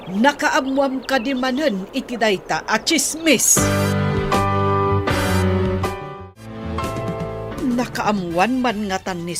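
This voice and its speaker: An exasperated, evasive mother, played by an actress, with a widely swinging middle-pitched voice. Music plays clearly behind her in this audio drama.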